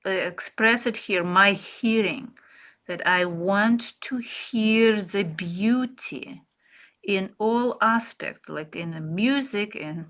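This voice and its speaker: A woman, an English speaker, teaching an art therapy class, speaking slowly and reflectively with fillers and pauses. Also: fairly low pitch, fairly bright tone. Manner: slow, searching, with fillers and pauses. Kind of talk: relaxed reflective class talk.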